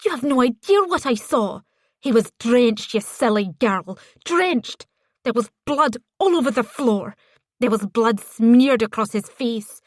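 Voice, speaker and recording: Woman putting on a gravelly-voiced spiteful older lady, all smug glee, pitched a bit high, dead-dry sound. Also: expressive, unhurried, no echo. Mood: indignant. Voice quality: gravelly smoker's voice. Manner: mocking, gleeful, taunting. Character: spiteful gloating older woman, a grieving mother. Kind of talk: audiobook character voice.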